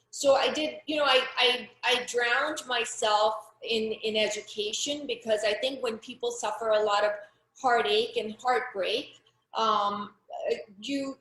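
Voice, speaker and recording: A middle-aged woman from Pakistan talks about her life in an earnest, frank way, often restarting a sentence halfway through. Her voice sits fairly high, and the room around her is echoey. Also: sharp tone. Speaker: interview guest, activist. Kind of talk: personal testimony in an interview.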